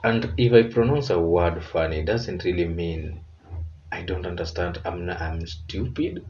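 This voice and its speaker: A man's voice: low-pitched, normal speed, darkish tone, lo-fi sound, slight background noise. A Kenyan man hosting a reaction video, earnest and reflective as he comments.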